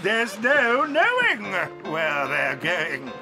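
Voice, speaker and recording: An actor playing an eccentric, excitable male chocolate factory owner, playful and theatrical, in a studio audio drama. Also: sits high, very slow, over loud music, exaggerated voice. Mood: chanting. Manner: playful, theatrical, bursts into rhyming chants.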